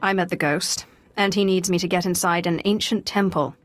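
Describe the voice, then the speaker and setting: A woman's voice: fairly low pitch, fairly even intonation, moderate pace, no room sound, close to an everyday voice. A woman playing a self-assured Sith in a video game, composed and coolly persuasive. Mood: cool, inquiring. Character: poised, self-assured female Sith, smoothly charming and persuasive. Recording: music behind the voice.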